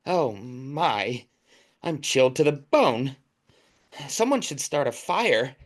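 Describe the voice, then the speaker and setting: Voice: medium pitch, very animated, slow pace. An actor playing a self-absorbed, fussy visitor in a comic audio play, talking in a wordy, complaining way. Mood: relieved, complaining.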